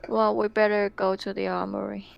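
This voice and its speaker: A woman's voice: mid-range voice, sing-song, a little fast, creaky, clear, resonant tone, muffled recording. A woman playing a tabletop game over a voice call, giggling often and restarting her sentences. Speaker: player.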